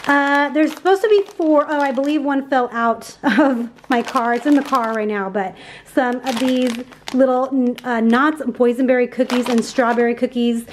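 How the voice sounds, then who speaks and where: A woman's voice: high-pitched, moderate pace, hardly any pauses, slight background noise. A woman in her thirties chatting casually and upbeat in a voice-over about her groceries, with lots of fillers. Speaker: grocery haul vlogger.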